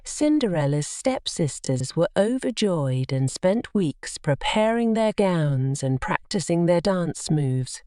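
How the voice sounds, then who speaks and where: A woman narrating a scripted children's bedtime story in an expressive, measured storytelling manner. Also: fairly low pitch, clear, resonant tone, dry recording, clean studio sound.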